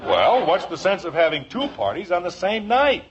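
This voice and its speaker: A man's voice: high-pitched, very animated, normal speed. An American actor playing an exasperated but affectionate middle-aged father in a 1950s radio sitcom. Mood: calm, kindly. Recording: muffled, hissy old radio recording.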